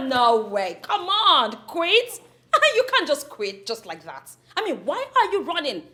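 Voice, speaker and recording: Actress for a defiant young heiress, high bright voice, pushes toward a shout. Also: female voice, wildly swooping pitch, takes it slowly, heavily acted. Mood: taunting. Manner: defiant, accusing, rising to near-shouting. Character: defiant young woman fighting her uncle over her father's company. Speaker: daughter confronting her uncle. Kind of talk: film drama acting.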